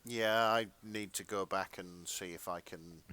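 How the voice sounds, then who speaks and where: A man's voice: low-pitched, steady tone, a little slow, bright, forceful tone, clean tone, no echo. Wry, wordy male co-host, dry sound. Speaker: podcast co-host. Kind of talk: casual chatty banter.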